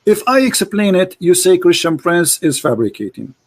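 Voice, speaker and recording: A man's voice: sits high, big pitch swings, normal speed. A man debating over an online call, insistently repeating pointed questions and demanding answers.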